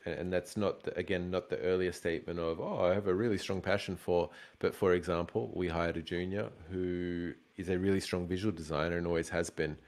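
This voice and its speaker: Emphatic, enthusiastic male guest, very deep voice. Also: steady tone, a little fast, few pauses, darkish tone. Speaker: guest, design recruiter. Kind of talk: casual interview chat.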